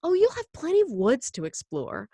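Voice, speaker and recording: A woman's voice: high-pitched, huge pitch swings, moderate pace, dark tone, dry recording, voice pushed up. A woman voicing a breezy, determined, enthusiastic mother in a storybook reading, with coaxing, exclamatory delivery. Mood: calm coaxing.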